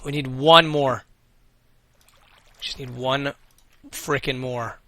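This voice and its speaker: A man's voice: medium pitch, fairly even intonation, slow pace, bright, forceful tone, dry recording. A man losing his voice, hosting a let's play and thinking aloud with casual swearing as he plays. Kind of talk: casual gameplay commentary.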